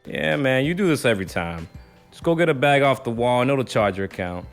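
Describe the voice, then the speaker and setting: A man's voice: medium pitch, lively intonation, a little fast, soft background music, natural voice. A man playing a proud, laid-back neighborhood doctor in an audio drama, bantering in slang with some indignation.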